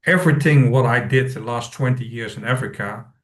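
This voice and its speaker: A man's voice: medium pitch, brisk. Man, distant from the mic. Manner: non-native English phrasing. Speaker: podcast panelist. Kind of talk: casual anecdotal conversation. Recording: distant microphone pickup.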